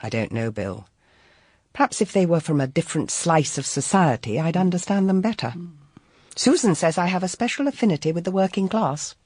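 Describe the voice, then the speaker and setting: An actress playing an educated, composed 1930s London private detective, articulate and earnest, in a radio drama. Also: female voice, on the low side, animated, a little fast, a little mellow, no room sound, clean studio sound. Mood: worried, wry.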